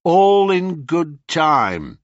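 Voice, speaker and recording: A cocky young hero, played by a man, teasing his captives with a mocking, playful lilt. His pitch swings widely while the pace stays unhurried, and the recording sounds dry and a little dull. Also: wildly swooping pitch, a bit bright, dry recording, exaggerated voice.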